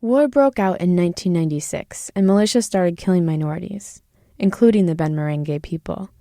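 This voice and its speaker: A woman reporter narrating a radio story in a clear, explanatory way. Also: fairly low pitch, a little fast, dark tone, dry recording, studio quality.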